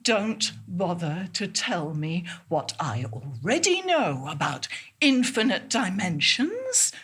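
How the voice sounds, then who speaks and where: An ailing, preoccupied woman, as an actress plays her, husky and breathy, speaking slowly with big swings in pitch. The phrases halt, repeat and trail away in a theatrical monologue. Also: very animated, a bit bright, heavily acted. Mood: uneasy, distracted. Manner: halting, repetitive, trailing off mid-sentence. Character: ailing, distracted woman musing obsessively on small sensations. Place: theatre stage.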